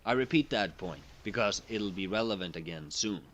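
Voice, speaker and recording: Measured man narrating a video essay. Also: on the low side, lively intonation, a bit bright, a little hiss or hum. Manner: measured, formal wording. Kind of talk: scripted narration.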